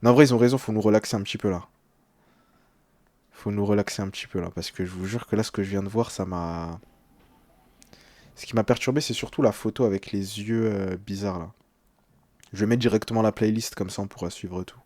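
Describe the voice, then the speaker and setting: Young guy streaming reactions, kinda uneasy n slangy, soft dark mellow tone, on the deeper side. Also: fairly quick, long pauses, dry recording. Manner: slangy, hesitant, uneasy commentary. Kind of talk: casual live stream reaction, translating on-screen text aloud.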